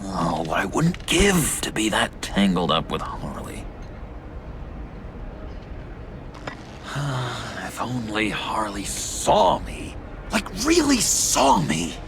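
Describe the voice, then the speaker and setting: Unhinged lovesick man, wildly swooping pitch. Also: huge pitch swings, noisy background, full character voice. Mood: lovestruck, dreamy. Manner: halting, breathy, swooping between whispers and outbursts. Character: lovesick, unhinged, childlike eccentric young man. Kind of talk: theatrical video game voice acting. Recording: music behind the voice.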